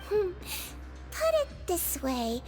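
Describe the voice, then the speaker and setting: Gentle non-human game nurse, very high and sweet, teasingly kind. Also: female voice, huge pitch swings, slow pace, soft background music, full character voice. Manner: soft, motherly, gently teasing. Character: small gentle female melusine nurse, kindly and teasing. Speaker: prison nurse.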